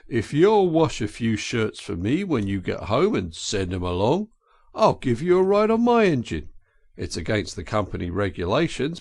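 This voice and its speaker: A man's voice: lowish voice, no echo, clean studio sound, heavily acted. A man voicing a burly, good-natured engine driver, blunt and folksy, in an audiobook. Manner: blunt, folksy, matter-of-fact. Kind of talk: audiobook character voice.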